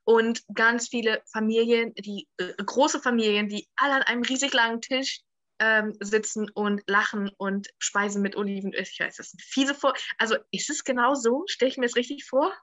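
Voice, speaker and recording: Interviewer host, woman, crisp bright tone, dry close mic, brisk curious questions. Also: on the high side, no echo. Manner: upbeat, curious, quick questions. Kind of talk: casual podcast interview.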